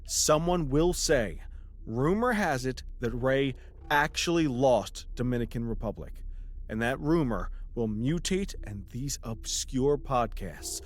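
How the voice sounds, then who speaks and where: An actor playing a skeptical, teasing podcast host who is sarcastic and probing, in a natural chatty audio drama style. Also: male voice, medium pitch, animated, a little slow, close to an everyday voice. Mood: speculative.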